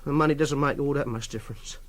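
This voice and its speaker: An actor playing a socially awkward, stubborn young man with an English accent, halting and defensive, in radio drama dialogue. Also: medium pitch, steady tone, natural voice. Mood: stubborn, dismissive. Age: young adult.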